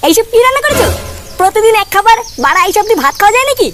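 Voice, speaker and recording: খুব সরু গলার অলস, খিটখিটে ছেলে. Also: female voice, very high voice, sing-song, racing, background noise. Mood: indignant. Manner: whining, profane. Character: lazy, sulky, foul-mouthed young married son. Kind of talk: comedy cartoon dubbing.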